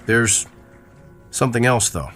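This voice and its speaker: A man's voice: mid-range voice, expressive, unhurried, fairly bright tone, faint music behind. A man playing a self-important, brusque doctor in a radio drama, speaking in an assured, clipped manner. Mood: insistent.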